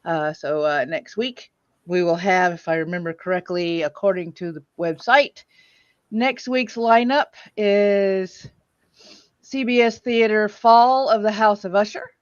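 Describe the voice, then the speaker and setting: A woman's voice: medium pitch, a little slow, clean tone, dry recording. Woman hosting a stream, slightly dull audio. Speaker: host of an online old-time radio stream. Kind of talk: casual livestream chat.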